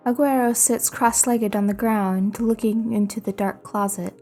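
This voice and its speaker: A woman's voice: fairly high pitch, fairly even intonation, normal speed, mellow, faint music behind. A woman reading a scripted story aloud as narrator. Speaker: fan fiction narrator.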